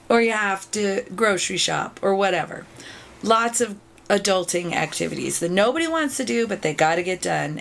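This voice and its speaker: A middle-aged woman hosting a video game channel, chatting casually to viewers with a raspy, sore throat after being ill. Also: medium pitch, fairly bright tone. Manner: chatty, upbeat, thanks viewers often.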